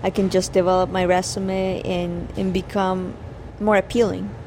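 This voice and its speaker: A woman's voice: fairly low pitch, level tone, moderate pace, a little mellow, slight background noise. A woman in her twenties from El Salvador, a university student answering interview questions in an earnest way, sometimes repeating herself. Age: 20s. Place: coffee shop.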